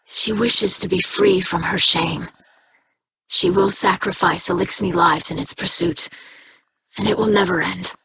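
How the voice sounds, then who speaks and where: An actress playing a formal, earnest young alien scribe in a video game, speaking precisely. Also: female voice, on the low side, steady tone, unhurried, creaky, noisy background.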